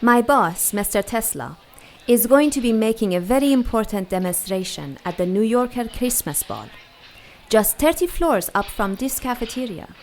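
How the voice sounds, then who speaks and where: Poised worldly 1940s woman: highish voice, formal measured delivery, faint background ambience. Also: expressive, slight background noise. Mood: persuasive. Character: poised, worldly 1940s female emissary of a scientist. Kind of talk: noir radio drama acting.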